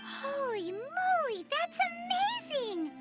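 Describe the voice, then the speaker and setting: A woman voicing a tiny, excitable, childlike fairy companion with a squeaky voice in an animated fantasy game. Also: takes it slowly, faint music behind, exaggerated voice. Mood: amazed.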